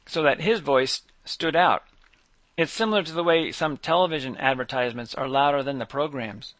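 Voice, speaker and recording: A man with a slightly high voice reads his own scripted monologue. His delivery stays measured and even. The recording is close and dry, with no room sound. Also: dry recording. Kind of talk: scripted monologue read aloud.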